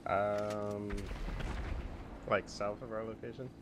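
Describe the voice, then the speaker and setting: A man's voice: fairly low pitch, little pitch movement, slow pace. A man making casual callouts over voice chat as a player in a multiplayer game. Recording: clear game noise, gunfire behind.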